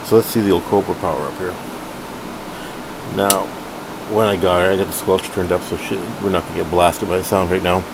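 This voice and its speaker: A middle-aged man giving a casual, unscripted hobbyist demo at home, halting and rambling as he corrects himself. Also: deep voice. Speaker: hobbyist collector reviewing a radio. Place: room at home, indoors. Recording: noisy room with steady background hum.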